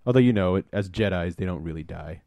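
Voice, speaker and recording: A man's voice: low voice, fast talker, darkish tone, no echo. A man chatting casually as he comments on a film for a podcast.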